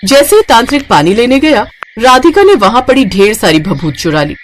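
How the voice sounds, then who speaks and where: A woman's voice: a little high, sing-song, normal speed. A woman storyteller reading scripted narration for a moral tale.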